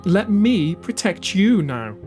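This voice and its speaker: A man acts out a hurt younger sister who has turned zealot in an audio drama. Her voice is lifted high and earnest, imploring and slow, with music playing behind it. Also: big pitch swings, exaggerated voice. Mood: sincere pleading.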